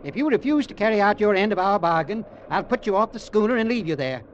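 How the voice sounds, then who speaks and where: Male cold-blooded villainous uncle, high-pitched, smug deliberate menace, golden-age radio thriller, muffled sound. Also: animated. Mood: coldly threatening. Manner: deliberate, menacing, smugly unhurried. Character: cold, scheming, rich villainous uncle, middle-aged man. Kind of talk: 1940s-50s radio drama acting. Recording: muffled, noisy vintage radio recording.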